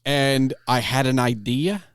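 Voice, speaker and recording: A man hosting a podcast, chatting casually in an animated, rambling storytelling way. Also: medium pitch, a little slow, clear, resonant tone, dry recording, clean studio sound. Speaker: podcast host interviewing a guest. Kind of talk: casual conversational chat.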